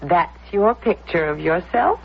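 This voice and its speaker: Teasing, slow-spoken, mysterious young temptress. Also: female voice, fairly low pitch, animated, creaky, husky, exaggerated voice. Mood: playful, teasing. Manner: teasing, deliberate, probing questions. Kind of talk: old-time radio drama acting. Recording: muffled, noisy old radio recording.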